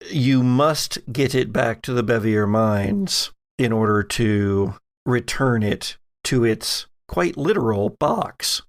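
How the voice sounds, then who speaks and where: A man with a gravelly voice narrating a tabletop horror game as game master, deliberate and dramatic in his storytelling. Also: medium pitch, lively intonation, dry recording.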